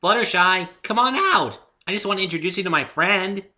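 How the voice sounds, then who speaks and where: A bubbly young cartoon mare played by a man, pitched far up and swooping about. The delivery is cheerful, innocent and tactlessly loud, with a bright, forceful edge, as in a homemade storybook reading. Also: expressive, fairly quick, exaggerated voice. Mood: coaxing. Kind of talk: amateur dramatic story reading.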